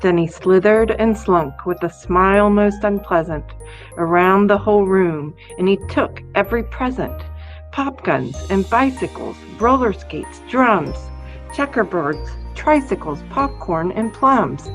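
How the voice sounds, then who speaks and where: A woman's voice: mid-range voice, lively intonation, measured pace. A woman reading a rhyming children's story aloud. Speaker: library staff member reading aloud. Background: soft music with jingles.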